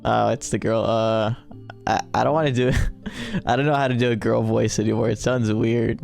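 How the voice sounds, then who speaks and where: A man's voice: medium pitch, moderate pace, vocal fry, a little mellow, faint music behind. A young man bantering and reading lines in a casual let's play, goofy and joking. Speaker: gaming video co-host.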